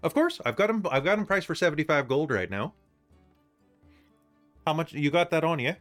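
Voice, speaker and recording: A man's voice: a little high, sing-song, fairly quick, fairly bright tone, soft background music, pitched above the performer's own voice. A man voicing a cheery, chatty woman shopkeeper with a Canadian accent who ends her lines with "eh". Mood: teasing. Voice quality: folksy, teasing, ends lines with "eh".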